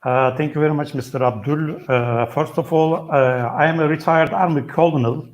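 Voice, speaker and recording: An older man from Turkey speaks English as a second language, his native tongue being Turkish. His voice is a touch high for a man, and his delivery is measured and formal, with frequent pauses and fillers. He comes through a video call. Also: fairly even intonation, dull audio. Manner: measured, halting, formal phrasing. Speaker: guest, retired army colonel and traveler. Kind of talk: webinar guest talk.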